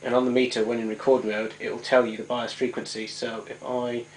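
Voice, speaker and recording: A man talks through a piece of equipment in an informal demonstration. His medium-pitched voice is hesitant and explanatory, with frequent self-corrections. The recording has clear background noise with clicking. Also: little pitch movement, brisk, low-quality recording. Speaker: vintage tape recorder hobbyist demonstrating his machine.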